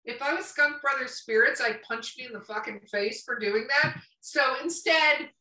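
Female podcast host, higher voice, loose and joking, slightly tipsy, roomy sound with music underneath. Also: normal speed, sharp tone, recorded from a distance. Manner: playful, profane, rambling. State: tipsy from drinking. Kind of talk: casual, joking podcast chat with sponsor reads.

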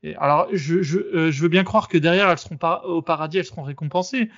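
A man hosting a podcast, arguing in a casual debate and often pausing with fillers and restarts. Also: a little high, fairly bright tone, dry recording, dull audio. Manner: hesitant, many fillers and restarts. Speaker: podcast host.

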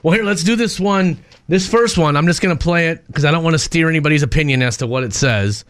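A male radio co-host with a voice a bit higher than average. He interviews guests casually and conversationally. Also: quick pace.

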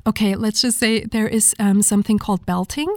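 A woman whose first language is German, with a breathy, mellow voice, talking in a relaxed interview with many fillers and restarts. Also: medium pitch, a little fast, dry recording, studio quality. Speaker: guest vocalist. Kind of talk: casual interview talk.

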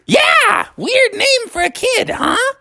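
Man putting on a shrill, squeaky little girl frog. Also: very animated, slow pace, creaky, bright, forceful tone, no echo, studio quality, heavily acted. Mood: friendly, chatty. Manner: indignant, shrill, insistent. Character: six-year-old girl frog, squeaky and exasperated.

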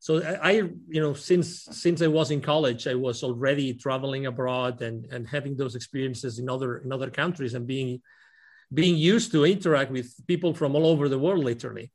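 A man's voice: mid-range voice, fairly quick. Spanish-accented middle-aged man, hesitant. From Spain. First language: Spanish and Catalan. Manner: hesitant, many restarts. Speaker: guest. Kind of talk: casual interview answers.

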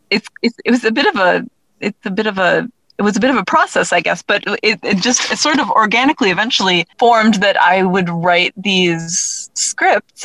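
A woman's voice: medium pitch, a bit bright, no room sound, poor audio. A woman guest, a writer, talking in an enthusiastic, chatty way in a casual interview. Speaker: guest, writer and audio drama creator.

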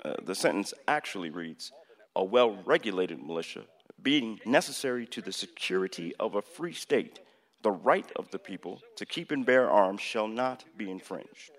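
Measured presidential debater in reverberant hall. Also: male voice, fairly low pitch, animated. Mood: halting, reasoning. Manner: halting, lecturing, emphatic. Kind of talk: radio play dialogue.

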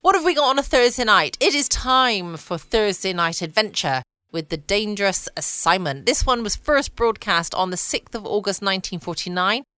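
A woman's voice: medium pitch, expressive, moderate pace, pushed and bright, smooth, dry recording, clean studio sound. A woman, hosting a podcast in a casual, rambling bedtime chat, musing to herself. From United Kingdom. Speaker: podcast host.

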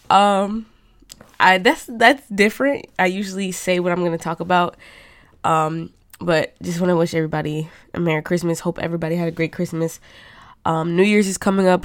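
A woman's voice: fairly low pitch, dry recording, clean studio sound. A young woman hosting her own talk show, chatting casually and rambling with many fillers, her voice nasal and stuffed up from a cold. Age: young adult. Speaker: podcast host. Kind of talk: casual monologue.

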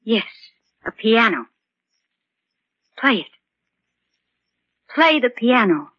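Anxious, high-voiced woman, old radio. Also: big pitch swings, drawn-out words, slightly rough, no room sound, heavily acted. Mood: coaxing, patient. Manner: pleading, fretful, insistent. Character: anxious, coaxing woman tending a disturbed man. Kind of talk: 1940s radio acting. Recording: dull vintage 1940s radio recording.

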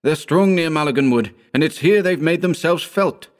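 A man's voice: fairly high pitch, sing-song, fairly quick, voice pushed up. A man voicing an urgent, frightened man who takes desperate command in a dramatic horror audiobook. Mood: frantic warning. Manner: insistent, breathlessly urgent exclamations.

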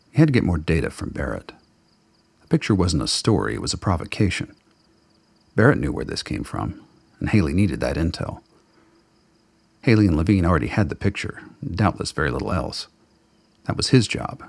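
A man narrates a radio drama in a very low voice with plenty of gravel in it. The delivery is brooding and literary, and the sound is close and dry, though the words come out somewhat husky. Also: very animated, moderate pace, pauses often, darkish tone, no room sound. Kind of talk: dramatic scripted narration of fiction.

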